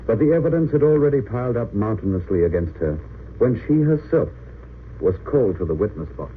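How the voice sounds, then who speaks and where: A man's voice: on the low side, animated, fairly quick, lightly acted. A man giving dramatic, scripted narration in an old-time radio courtroom drama. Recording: tinny narrow-band old radio recording, hissy.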